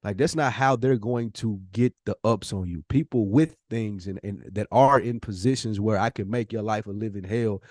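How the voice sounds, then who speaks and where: A man's voice: fairly low pitch, normal speed, hardly any pauses, darkish tone, no echo. Man debating casually in a dry-sounding space. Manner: emphatic, rhetorical questioning, slangy. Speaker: podcast host. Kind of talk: opinionated casual discussion.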